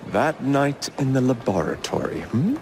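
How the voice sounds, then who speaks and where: A man's voice: sing-song, measured pace. A man voicing a cocky, wry young Victorian gang leader in a video game. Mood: amused, sardonic. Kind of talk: video game cutscene acting. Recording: very noisy game audio with train rumble.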